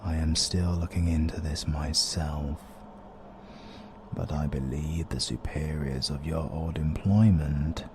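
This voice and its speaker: A British man playing an ominous, courteous interdimensional being in an audio roleplay, speaking in a formal, measured, detached way. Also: extremely low pitch, steady tone, noisy background. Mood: formal, grave.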